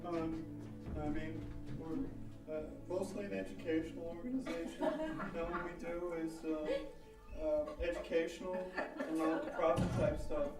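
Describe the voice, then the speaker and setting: Actor as hesitant nerdy hobbyist, eager, petulant, middle pitch, roomy live stage, radio comedy. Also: normal speed, close to an everyday voice. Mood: hesitant, earnest. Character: nerdy, eager, petulant reenactor underling named Larry. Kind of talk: old-time radio comedy acting before a live audience. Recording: echoey stage, distant mic, loud music.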